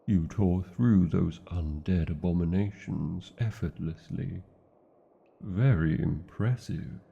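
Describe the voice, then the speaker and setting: Man voicing a deep, dark-toned British dragon. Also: slow, gravelly, husky, lo-fi sound, full character voice. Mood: relieved, impressed. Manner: theatrical, formal old-fashioned phrasing, tender endearments. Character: huge male dragon lover, proud, protective, wryly disdainful. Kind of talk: fantasy ASMR audio roleplay.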